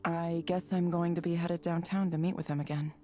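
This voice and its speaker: An actress as a troubled, low-voiced woman. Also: level tone, a little fast, warm tone, faint music behind, lightly acted. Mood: apologetic, subdued. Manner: earnest, downcast, introspective. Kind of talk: visual novel game voice acting.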